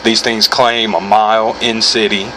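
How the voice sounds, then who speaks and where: Low-voiced American man, casual gear review. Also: little pitch movement, a little slow, pushed and bright. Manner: informal, rambling, self-correcting. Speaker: gear reviewer hosting his own video. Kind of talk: casual unscripted product review. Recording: clearly noisy background hum.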